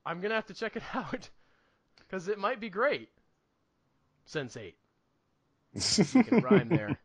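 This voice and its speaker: A man's voice: sits high, big pitch swings, takes it slowly, husky. A man co-hosting a podcast, chatting casually about movies in a rambling, earnest way as he thinks aloud. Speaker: podcast co-host. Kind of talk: casual movie review chat.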